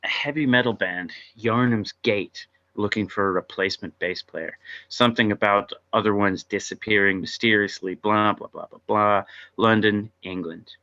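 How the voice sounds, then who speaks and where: A man reads out stage directions as narrator of a script read-through. His voice is fairly low yet forceful and bright, captured in slightly dull, lo-fi sound. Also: steady tone, moderate pace.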